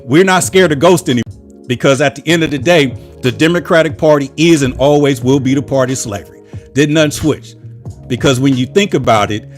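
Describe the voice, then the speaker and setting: A man from the United States delivering a fervent, preachy political monologue, stacking up repeated phrases like a sermon. His voice sits a little higher than most men's, with soft music playing faintly underneath. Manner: preachy, with rhetorical repetition and emphatic lists. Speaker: political commentary channel host. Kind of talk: impassioned solo political monologue.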